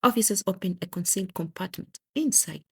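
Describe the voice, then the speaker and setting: Female narrator for crime audio drama: husky, gravelly edge, scripted dramatic storytelling. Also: medium pitch, fairly quick, darkish tone.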